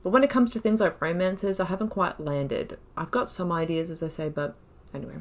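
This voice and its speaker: A woman's voice: lowish voice, brisk. A woman hosting a gaming video, thinking aloud in casual, informal, wry commentary as she talks through the story.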